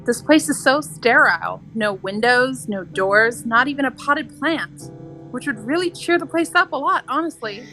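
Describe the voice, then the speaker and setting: Woman playing a high, bright, perky adventurer. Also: moderate pace, faint music behind, low-quality recording. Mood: peeved, chatty. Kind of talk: audio drama acting.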